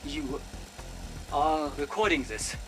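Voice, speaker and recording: An actor playing a nervous, skeptical German doctor of the war years in a video game, with a German accent and a doubtful, uneasy manner. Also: male voice, on the high side, slow, over music, poor audio. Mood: alarmed. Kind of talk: video game audio log acting.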